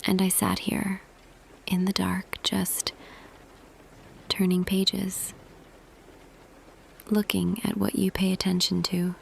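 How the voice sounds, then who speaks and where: A woman playing a tender, quietly smitten office boss in an intimate ASMR roleplay, speaking softly and deliberately, often half whispering. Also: mid-range voice, completely flat delivery, creaky, a little hiss or hum, rough recording. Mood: quietly confessing. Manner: slow, hushed, deliberate, pausing. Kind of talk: ASMR roleplay audio, intimate scripted monologue.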